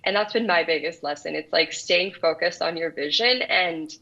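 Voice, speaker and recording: A woman's voice: lowish voice, moderate pace, sharp tone. A woman from El Salvador, in her thirties, answering interview questions earnestly with frequent restarts. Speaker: guest founder. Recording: dull lo-fi sound.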